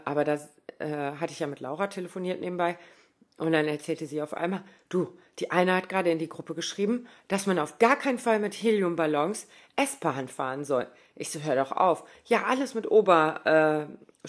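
A woman in her thirties talking casually in a spoken diary, rambling with lots of fillers and asides. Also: low voice. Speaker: running podcaster.

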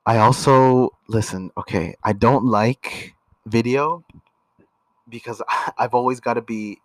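A young man from Canada co-hosting a casual couple podcast, relaxed and full of filler words. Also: medium pitch, slow, dry recording, rough recording. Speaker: podcast co-host.

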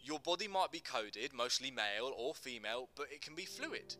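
A man's voice: medium pitch, expressive, moderate pace, pushed and bright. A man acting an earnest gangster who explains things with emphatic passion in a dramatic screenplay reading. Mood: earnest, animated. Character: earnest Teddy Boy gangster, passionate non-binary teacher.

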